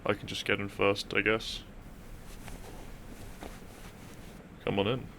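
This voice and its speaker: A man's voice: lowish voice, monotone, a little fast, creaky, pushed and bright, a little hiss or hum. A man playing a depressed, dry-witted young man in an intimate audio roleplay, speaking with hesitant, awkward teasing to his best friend. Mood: shy, teasing.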